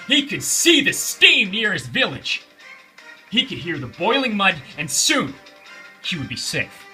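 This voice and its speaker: A man's voice: sits high, wildly swooping pitch, a little slow, pushed and bright, soft background music. A man telling a children's bedtime story in a theatrical, emphatic way, repeating phrases for suspense.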